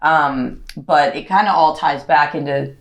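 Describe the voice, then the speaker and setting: A woman co-host chats in a relaxed talk, her voice low for a woman. She thinks aloud in a reflective way, often pausing with ums and likes. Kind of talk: casual podcast conversation.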